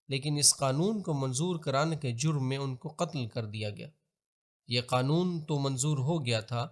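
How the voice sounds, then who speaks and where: A man with a Pakistani accent reads scripted historical narration in a formal, measured, expository manner. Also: medium pitch. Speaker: documentary narrator.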